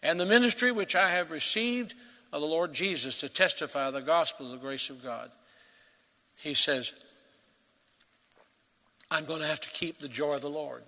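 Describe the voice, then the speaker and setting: A man preaching a sermon as pastor, earnest and emphatic, swelling to loud peaks and dropping to quiet. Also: on the high side, moderate pace, pauses often, big-room sound.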